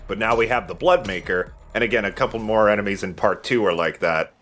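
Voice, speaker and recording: A man with a fairly low voice gives casual video game commentary. He explains things informally, feeling his way through phrases, with faint game noise behind him. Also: fairly quick, a bit bright. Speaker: Let's Play host. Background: video game sound.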